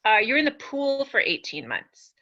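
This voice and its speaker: A woman's voice: on the high side, sing-song, moderate pace, bright, forceful tone. A woman giving casual, unscripted answers on a panel. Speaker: air traffic controller, panelist. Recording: dull lo-fi webinar audio.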